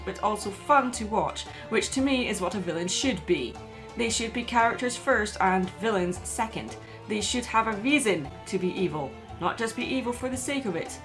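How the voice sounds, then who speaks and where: A woman narrates a scripted video essay in a mid-pitched voice, with faint music underneath. She sounds like a commentator laying out an argument.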